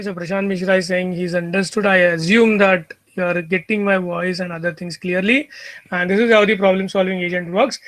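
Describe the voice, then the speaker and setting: A man's voice: high voice, fairly bright tone, no room sound, low-quality recording. A middle-aged man with an Indian English accent giving an online lecture, explaining step by step and often restarting his phrases. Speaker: university lecturer. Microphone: computer mic on video call.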